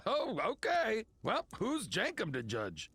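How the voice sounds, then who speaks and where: An actor playing a brash, blunt, comic alien crewmate in an animated video game. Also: male voice, high-pitched, takes it slowly, slightly rough, full character voice. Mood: amused bluntness.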